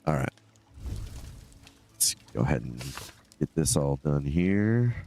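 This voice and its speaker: Man, extremely low voice, stuffy nose, rambling chatty streamer. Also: sing-song, slow, vocal fry, warm tone, soft background music. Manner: rambling, chatty, answers chat comments. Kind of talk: casual live-stream chat while gaming.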